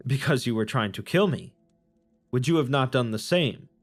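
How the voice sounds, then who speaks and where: A man's voice: mid-range voice, expressive, normal speed, soft background music. A man voicing a cunning, name-stealing fae creature who speaks in formal, taunting phrases. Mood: cold, taunting.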